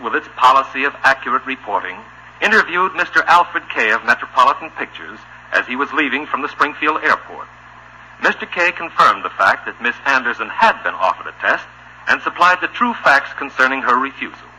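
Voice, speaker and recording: An actor playing a solemn, stuffy newspaper voice with an American accent, reading formally and deadpan in a 1950s radio show. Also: male voice, mid-range voice, a little fast, husky, exaggerated voice, rough recording. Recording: old radio recording with hum.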